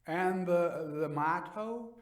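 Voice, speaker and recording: A man's voice: sits high, slow pace, roomy sound, far from the mic, pitched above the performer's own voice. A man voicing a proud, stubborn drunk wine lover with exclamatory bravado in a gothic story reading. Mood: tipsy, jovial.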